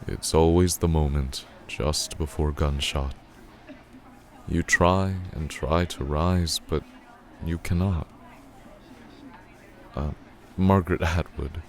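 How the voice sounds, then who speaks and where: Very deep, slow, brooding man as acted. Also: steady tone, slight background noise, heavily acted. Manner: halting, deliberate, musing. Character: slow, brooding, bookish man, melancholy and philosophical. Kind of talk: audio drama dialogue.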